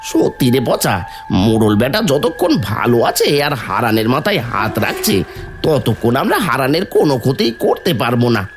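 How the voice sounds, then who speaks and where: A man playing a sly, vengeful village troublemaker in an animated story, conspiratorial and wheedling. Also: mid-range voice, moderate pace, music in the background. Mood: plotting.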